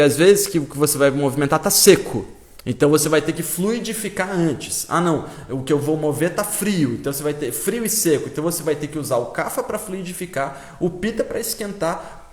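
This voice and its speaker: A man with a Brazilian Portuguese accent, teaching a live-stream lesson and answering viewers in a casual, explaining way with frequent check-ins. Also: on the high side. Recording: roomy echo.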